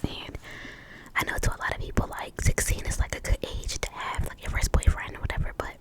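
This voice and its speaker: An American woman in her twenties telling a casual, chatty ASMR story, slightly short of breath, repeating words softly. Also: a little fast. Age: 20s. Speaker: ASMR video host.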